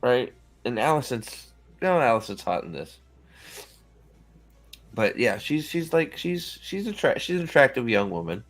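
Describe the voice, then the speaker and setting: A man's voice: medium pitch, creaky, fairly bright tone. A man hosting a loose reaction chat about a TV show. His talk is blunt and full of swearing, and he keeps restarting his sentences as he rambles along. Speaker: show host. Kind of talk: casual reaction commentary.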